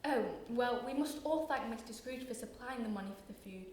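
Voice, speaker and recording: Girl as kindly mother, highish voice. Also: fairly quick, far from the mic, a little hiss or hum, lightly acted. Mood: grateful. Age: teenager. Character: kindly, forgiving mother of a poor family. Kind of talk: radio play acting. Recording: roomy echo.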